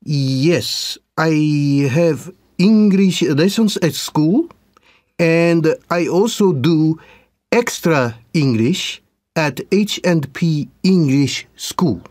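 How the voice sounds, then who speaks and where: A man from Japan answers exam questions as a student, slowly and haltingly, with plenty of pauses. The recording is close and dry. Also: fairly high pitch, lively intonation, a little mellow, dry recording. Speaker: exam candidate.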